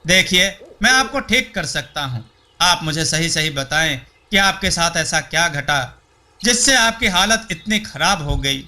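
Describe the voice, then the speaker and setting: A man's voice: a little high, lively intonation, measured pace, sharp tone, full character voice. A man voicing an earnest, confident young man in a folk tale, speaking politely and insistently to reassure. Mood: reassuring.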